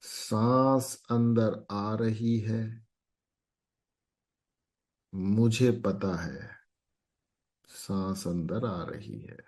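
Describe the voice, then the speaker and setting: A man guiding a meditation as an instructor, speaking calmly in short phrases with long pauses. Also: fairly low pitch.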